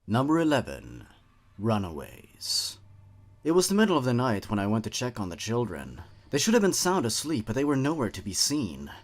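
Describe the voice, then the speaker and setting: A man narrating horror stories from a script, in a measured delivery that sinks low and hushed at the eerie moments. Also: huge pitch swings. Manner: measured, hushed storytelling that drops low and quiet.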